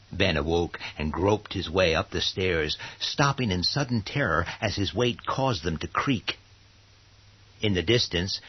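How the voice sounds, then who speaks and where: A man narrating a horror radio play in a husky voice, theatrical, with deliberate dramatic pauses. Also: sits low, lo-fi sound.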